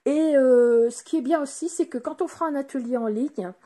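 Woman, craft demonstrator, mellow slightly breathy voice, hesitant delivery full of filler pauses. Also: high voice. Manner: hesitant, many filler pauses, showing items. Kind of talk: casual product presentation.

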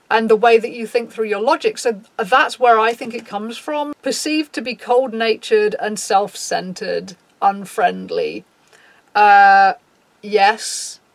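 A woman in her thirties with a low, raspy voice, talking frankly to camera in a casual vlog and swearing freely. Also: no room sound. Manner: frank, swears freely, reads out a list and reacts. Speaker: YouTuber. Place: small dim room, indoors.

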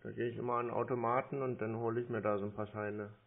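Casting: man, mid-pitched, playing a clueless, hesitant phone customer; muffled line, faint noise. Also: flat delivery, fast. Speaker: prank scam-baiter playing a clueless customer. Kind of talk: casual phone call.

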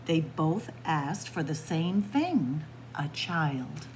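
A woman reads a picture book aloud to young children as a library storyteller. Her pitch is animated and rises and falls expressively. She sits in a library room, with clear background noise in the recording. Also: very slow. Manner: expressive, addressing young listeners as friends. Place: indoors, library room with bookshelves.

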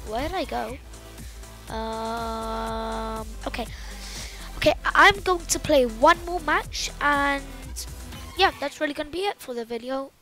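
A woman giving loud, excitable, casual commentary while playing a video game, exclaiming often. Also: sits high, unhurried. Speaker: gameplay commentator. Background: game music.

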